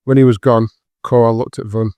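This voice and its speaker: Very even, dark-voiced male narrator. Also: mid-range voice, moderate pace, clear, resonant tone, dry recording. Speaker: audiobook narrator. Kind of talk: scripted dramatic fiction narration.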